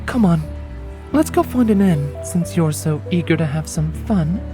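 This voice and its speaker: A man's voice: on the high side, lively intonation, measured pace, over music, pitched above the performer's own voice. A man voicing a caring, motherly pony mare with a diplomatic, sincere manner in acted storybook dialogue. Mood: reassuring. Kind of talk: acted dialogue in a fan fiction audiobook.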